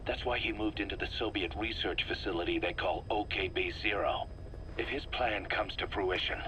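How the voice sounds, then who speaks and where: Low, forceful military officer. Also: male voice, a little hiss or hum, low-quality recording. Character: stern gruff military second-in-command briefing his commander. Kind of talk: dramatic mission briefing in a video game.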